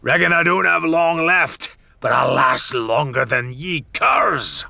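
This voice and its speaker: Gravelly, roaring brute of a pirate. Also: male voice, fairly high pitch, expressive, slow, pushed and bright, no room sound, voice pushed up, heavily acted. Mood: defiant menace. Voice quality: gruff, gravelly roar. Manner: rough pirate speech, bellowing menace. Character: huge gleeful brutish cursed stone-skinned pirate villain. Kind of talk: dramatic audiobook character acting.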